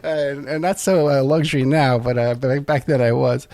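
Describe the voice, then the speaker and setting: A man's voice: medium pitch, fairly even intonation, darkish tone. A man with a New York accent hosting an interview, chatty and joking as he asks questions. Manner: jokey, chatty, quick. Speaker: podcast host. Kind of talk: interview conversation.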